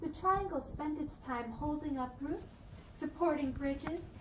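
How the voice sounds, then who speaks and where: A woman's voice: high-pitched, measured pace. A woman narrating a children's storybook aloud as a dramatic reading. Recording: echoey room, distant mic, noisy.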